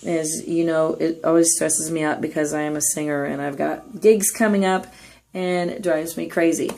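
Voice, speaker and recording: A hoarse woman with a raspy voice gives a chatty, upbeat gameplay tutorial voice-over as clan leader. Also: sits low, fairly even intonation, normal speed, dark tone, low-quality recording. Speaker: clan leader giving strategy tips.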